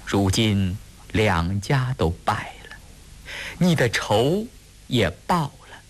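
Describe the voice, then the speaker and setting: A man voicing a sorrowful, self-possessed woman in a storybook reading, quiet, contrite and pleading. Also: lowish voice, very animated, slow, exaggerated voice.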